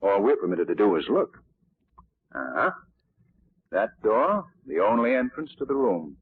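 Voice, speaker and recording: A knowing gentleman sleuth in an old radio mystery. His low voice is slightly husky, with suave, measured phrasing. It comes through thin and tinny. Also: sing-song, exaggerated voice. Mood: knowing, probing. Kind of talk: 1940s radio acting. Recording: tinny, muddy 1940s radio recording.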